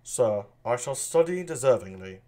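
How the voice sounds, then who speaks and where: A man voicing a loyal older lord in a play reading, polite and measured. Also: mid-range voice, animated, dark tone, close to an everyday voice. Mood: courteous.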